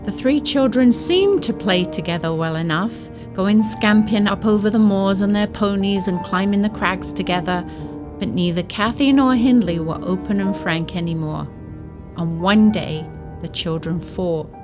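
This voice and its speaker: A woman's voice: mid-range voice, normal speed, music in the background, natural voice. A woman playing a reflective storyteller, narrating a scripted audio drama about a family's past.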